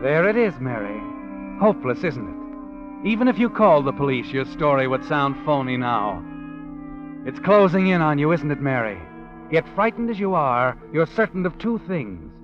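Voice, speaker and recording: Ominous high-voiced radio narrator over music. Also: male voice, very animated, moderate pace. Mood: taunting. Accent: American. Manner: dramatic, ominous, needling. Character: knowing, taunting male narrator of crime tales. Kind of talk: 1940s radio suspense narration, second person. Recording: dull lo-fi 1940s radio recording. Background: dramatic music.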